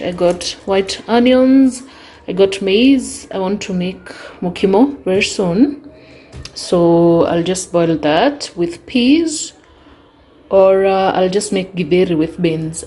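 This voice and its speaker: A young Kenyan woman narrates her haul in a relaxed, chatty voice-over. She takes her time, naming each item one after another. Her voice sits at a middle pitch, and a faint background noise runs beneath it. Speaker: vlogger.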